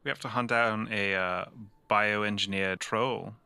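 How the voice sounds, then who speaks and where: A man playing an awkward, weary, self-deprecating fugitive in a tabletop game, speaking haltingly. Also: lowish voice, steady tone, vocal fry, sharp tone, clear, resonant tone, dry recording, full character voice. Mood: sheepish. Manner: halting, hesitant.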